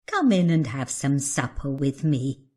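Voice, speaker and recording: A kindly, magical old storybook woman, acted by a woman, gentle and welcoming. She speaks slowly in a husky voice that rises and falls expressively. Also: very deep voice, sing-song, exaggerated voice. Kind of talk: children's fairy tale dramatisation.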